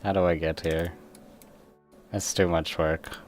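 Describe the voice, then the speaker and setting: A man's voice: sits low, little pitch movement, measured pace, over music. A man livestreaming a game, rambling casually and muttering to himself as he plays. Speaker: livestreamer, gamer. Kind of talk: casual livestream gaming chat. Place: indoors at a computer.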